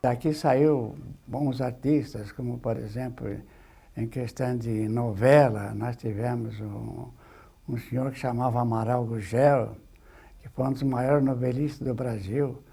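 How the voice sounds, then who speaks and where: A man with a Brazilian accent reminiscing in an interview in a plain-spoken, halting way, repeating words. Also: mid-range voice, fairly even intonation, gravelly, warm tone, husky. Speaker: documentary interviewee.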